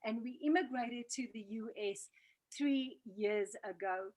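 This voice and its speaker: A woman's voice: a little high, measured pace, darkish tone. A South African woman hosting an online business training over a video call in an earnest, motivational manner. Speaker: training host.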